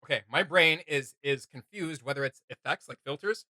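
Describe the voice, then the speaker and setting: A man's voice: a little high, moderate pace, sharp tone, no room sound, studio quality. A man hosting a reaction video, chatting casually with an enthusiastic, rambling, joking manner.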